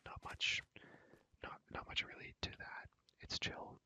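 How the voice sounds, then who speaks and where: A young man whispers in a breathy, unvoiced voice, rambling casually through an ASMR game tour and softly repeating his words and phrases. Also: unhurried. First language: English. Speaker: ASMR content creator.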